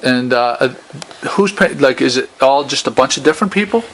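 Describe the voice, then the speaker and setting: A man doing a casual, colloquial interview in a halting, enthusiastic way, as the host. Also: medium pitch, expressive, fairly bright tone, slight background noise, poor audio. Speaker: interviewer, local video host. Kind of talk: casual chat, informal interview. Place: small gallery shop indoors.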